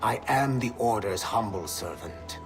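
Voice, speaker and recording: An actor playing a haughty, loyal servant of a secret order, formal and smug, in a video game cutscene. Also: male voice, fairly low pitch, lively intonation, measured pace, vocal fry, over music. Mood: cold, dismissive.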